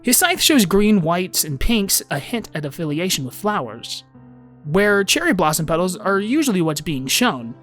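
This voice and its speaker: A man's voice: high voice, big pitch swings, moderate pace, a bit bright, faint music behind. A man narrating an informal scripted fan video essay about a game, with emphatic, swinging phrasing.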